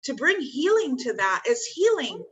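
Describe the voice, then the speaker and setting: A woman's voice: high voice, big pitch swings, normal speed, fairly bright tone, highs cut off. A woman in her fifties, talking earnestly in a spiritual chat and repeating her thanks. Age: 50s.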